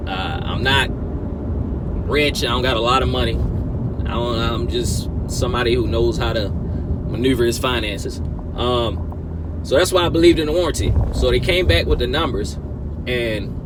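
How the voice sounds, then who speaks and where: Male car vlogger, slow delivery, mid-pitched, conversational with punchy emphasis, recorded in a vehicle with very loud driving noise. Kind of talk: casual storytelling to camera. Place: inside a car while driving. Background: car and road noise.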